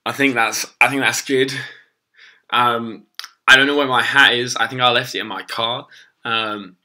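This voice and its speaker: A young man, hoarse from losing his voice, rambling casually through a live stream with lots of ums and swearing. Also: medium pitch, steady tone, normal speed, sharp tone. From England.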